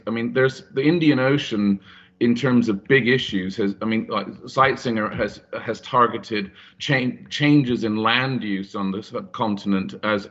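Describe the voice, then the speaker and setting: A man speaking on a panel over a video call, halting with many restarts and fillers. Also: fairly low pitch, fairly bright tone. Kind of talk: panel discussion. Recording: muffled video call audio.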